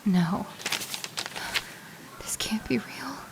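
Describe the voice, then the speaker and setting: A woman playing a grieving, fragile adult writing to a lost love in an intimate audio drama, halting and sighing, sometimes slipping into whispers. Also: fairly high pitch, gravelly, full character voice, low-quality recording. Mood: stunned disbelief.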